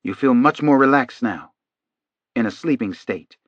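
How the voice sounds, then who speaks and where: Male narrator as authoritative, soothing male doctor for audiobook, mid pitch, quiet delivery, slightly dull sound. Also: animated, measured pace, husky, dry recording. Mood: soothing, steady. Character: calm, authoritative male doctor conducting hypnosis.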